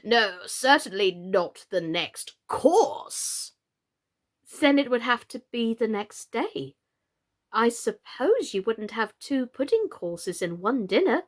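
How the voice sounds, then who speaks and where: Woman doing a gentle foolish old knight from a storybook, doleful n fussy, really drawn out, swoops all over. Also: on the high side, very animated, heavily acted. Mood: doleful, thoughtful. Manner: slow, drawn-out, theatrical, fussy. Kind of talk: children's storybook character voice.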